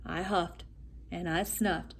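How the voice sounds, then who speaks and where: A woman's voice: fairly low pitch, steady tone, takes it slowly, a little hiss or hum, voice pushed down. A woman voicing a male storybook wolf who tells his side in a folksy, aggrieved, self-justifying way.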